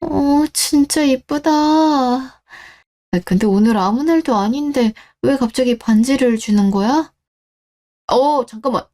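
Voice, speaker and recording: Soft-toned, affectionate young girlfriend voiced by a woman. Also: high-pitched, slow pace, voice pushed up. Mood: surprised. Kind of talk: Korean radio drama dialogue.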